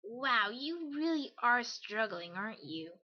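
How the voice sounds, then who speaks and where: A woman voicing a brash, confident young female pegasus pony in a fan audio drama, cocky, casual and energetic, on a slightly dull microphone. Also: on the high side, a little slow. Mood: impatient.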